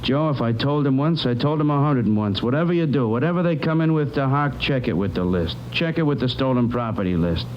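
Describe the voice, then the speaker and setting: A man's voice: mid-range voice, fairly quick, few pauses, natural voice. An actor playing a folksy, chatty, exasperated pawnbroker in a 1950s radio drama, repeating himself as he grumbles. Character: chatty, exasperated male pawnbroker, friendly with police. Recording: dull, noisy old radio recording.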